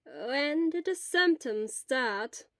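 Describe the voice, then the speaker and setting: A woman voicing a kindly, brisk elderly school nurse who speaks in a slow, deliberate way. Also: high-pitched, lively intonation, no echo, clean studio sound, voice pushed up, full character voice. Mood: calm, questioning.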